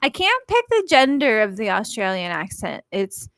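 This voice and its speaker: A woman's voice: mid-range voice, wildly swooping pitch, moderate pace, a bit bright, no room sound. Chatty American woman, dry close sound. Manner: chatty, enthusiastic. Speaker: livestream co-host. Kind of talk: casual chat.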